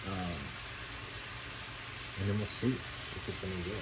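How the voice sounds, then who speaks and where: Deep-voiced man rambling in a kitchen. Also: a little slow, heavy background noise. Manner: relaxed, rambling, many fillers. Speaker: home cooking vlogger. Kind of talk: casual cooking commentary. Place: home kitchen.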